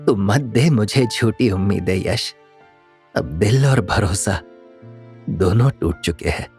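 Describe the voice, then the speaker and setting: A man's voice: fairly low pitch, slow, a little mellow, soft background music. A man voicing a distressed, worn-out middle-aged aunty in an audio drama, pleading and reproachful. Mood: weary, broken. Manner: pleading, plaintive scolding. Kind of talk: Hindi audio drama acting.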